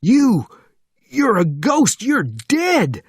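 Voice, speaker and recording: A man's voice: extremely high pitch, wildly swooping pitch, extremely slow, breathy edge, no echo, studio quality, pitched above the performer's own voice, exaggerated voice. Man acting a shrieking, panicked huge sergeant. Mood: screaming panic. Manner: shouting, panicked exclamations. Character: huge panicking male sergeant, terrified and roaring.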